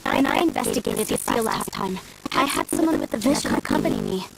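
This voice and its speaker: A woman's voice: a little high, huge pitch swings, fairly quick, poor audio. A woman playing an earnest, easily exasperated female researcher in a video game, explaining things expressively. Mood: curious. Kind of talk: video game character voice acting. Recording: game capture with loud music behind.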